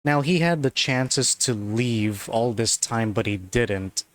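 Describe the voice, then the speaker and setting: A man's voice: mid-range voice, slow pace. A man giving a casual spoken commentary in a loose, chatty way, full of fillers and the odd laugh. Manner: loose and chatty, full of "like" and "you know", laughs now and then. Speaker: video commentator.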